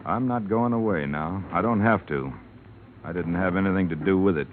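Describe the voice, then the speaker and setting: An actor playing Frankie, a hot-tempered young ranch man, in 1950s radio drama style, swinging between hushed dread and frantic shouting. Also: deep voice, moderate pace, lightly acted. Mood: tense, hushed. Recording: tinny old radio broadcast, static.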